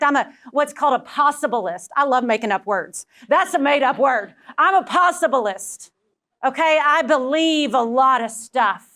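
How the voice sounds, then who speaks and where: Texan woman, keynote speaker, high voice, energetic and personal with the room. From Texas. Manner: energetic, personal, talks directly to the audience. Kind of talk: motivational presentation to a live audience.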